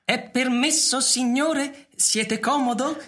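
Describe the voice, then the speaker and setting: An actor voicing an ancient, loyal robot butler in a radio drama, formal, deferential and wistful, speaking in a measured way. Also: sits high, a bit bright, a little hiss or hum. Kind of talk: Italian radio theatre acting.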